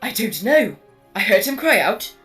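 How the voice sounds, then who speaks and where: A woman playing an anxious, well-meaning, fretful young student in an audio drama, with a husky voice. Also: mid-range voice, big pitch swings, normal speed, fairly bright tone, soft background music, heavily acted. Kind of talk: acted audio drama dialogue.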